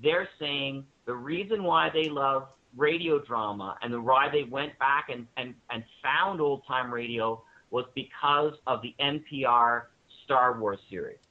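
A man's voice: medium pitch, measured pace, hardly any pauses. A man speaking as a guest in a panel interview, talking enthusiastically and emphatically about what he admires. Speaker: guest, radio drama maker. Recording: thin phone-like line.

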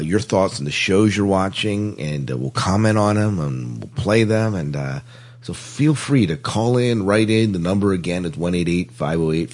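A man's voice: on the low side, darkish tone. A man co-hosting a podcast in a casual, chatty style. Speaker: podcast co-host.

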